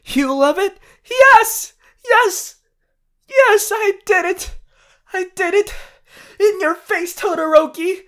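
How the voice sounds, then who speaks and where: A woman playing an eager, affectionate teenage boy hero in a fan ASMR roleplay, gushing and halting as she playfully addresses the listener. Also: very high-pitched, a bit bright, exaggerated voice. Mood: triumphant, excited. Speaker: voice actor in a fan character audio.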